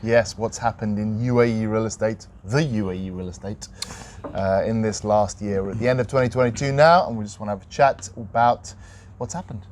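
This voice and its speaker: A man co-hosting a real estate podcast, talking in a casual, conversational and slightly hesitant, measured way. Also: on the low side, steady tone, a little mellow, a little hiss or hum. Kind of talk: casual unscripted podcast chat.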